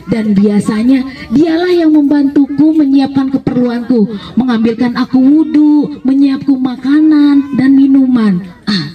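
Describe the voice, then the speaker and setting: A woman's voice: high-pitched, warm tone. Woman voicing a frail, pious old man, distantly miked. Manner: halting, exclamatory, addressing listener as young man. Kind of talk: live children's storytelling.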